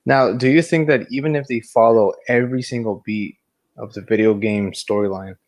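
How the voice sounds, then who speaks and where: A man's voice: mid-range voice, steady tone, moderate pace, a little mellow. A man co-hosting a casual film chat, putting questions to the others. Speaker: podcast co-host asking questions. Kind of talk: casual movie discussion.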